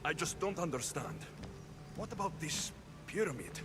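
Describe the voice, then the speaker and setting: A man's voice: a little high, animated, normal speed, music in the background, lightly acted. An actor playing a blunt, wary young male Greek mercenary hero in a dramatic video game cutscene, full of pointed questions. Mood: questioning.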